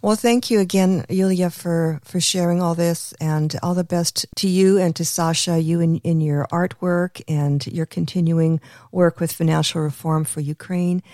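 A woman's voice: lowish voice, fairly even intonation, moderate pace, dark tone, no room sound, studio quality. A woman hosting an interview, speaking effusively with frequent restarts. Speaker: podcast host. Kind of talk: interview over a call.

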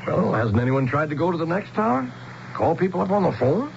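Expressive, bewildered American man, muffled radio. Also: a little high, a little fast, gravelly. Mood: anxious, questioning. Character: bewildered, skeptical male outsider stranded in strange town. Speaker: actor and narrator. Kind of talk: 1970s radio drama acting and narration. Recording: muffled, noisy old radio broadcast.

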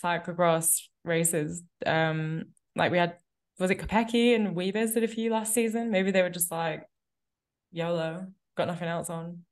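A woman's voice: lowish voice, little pitch movement, measured pace. Nasal woman with a cold, chatting. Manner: chatty, wry, many "like" fillers. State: congested with a cold. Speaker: podcast co-host. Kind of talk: casual chat.

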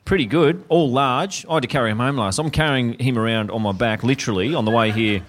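A man with an Australian accent co-hosting a live comedy podcast in front of an audience, blunt and sweary with deadpan asides. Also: mid-range voice, fast talker. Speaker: podcast co-host.

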